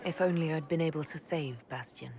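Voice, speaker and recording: An actress playing an elf mage leader in a game, measured, dry and resolute. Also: female voice, sits low, a little mellow, slight background noise. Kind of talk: dramatic game acting.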